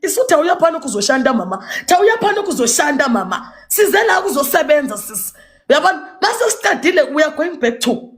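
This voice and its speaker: Zimbabwean lady ranting for social media, high voice, defiant and taunting, says stuff over and over. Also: sing-song, fast talker. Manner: defiant, taunting, repeating phrases emphatically. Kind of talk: impassioned social media rant.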